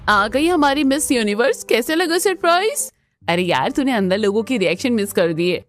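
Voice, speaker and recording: High, spiteful young sister, sneering acted by a woman. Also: expressive, moderate pace, lightly acted. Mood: mocking. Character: spiteful, mocking older sisters, young and snobbish. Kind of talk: Hindi family cartoon voice acting.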